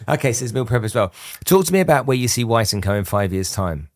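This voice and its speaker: A man's voice: medium pitch, animated, fast, no room sound, studio quality. A man hosting a business podcast, friendly and probing, laughing easily as he questions his guest. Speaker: podcast host. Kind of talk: casual business interview.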